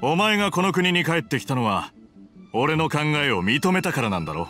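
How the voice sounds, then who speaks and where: Stern, animated officer. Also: male voice, on the high side, fairly quick, faint music behind. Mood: scornful, stern. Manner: curt, commanding, scornful. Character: stern, proud male magistrate officer, gruff former friend. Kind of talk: anime game voice acting.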